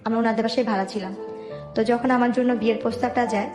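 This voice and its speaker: Fast-talking Dhaka woman with a higher voice. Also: steady tone. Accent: Dhaka Bangladeshi. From Dhaka, Bangladesh. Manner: colloquial, detailed, quotes past conversations. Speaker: guest telling own life story. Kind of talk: personal storytelling in conversation. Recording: roomy voice with music underneath. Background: background music.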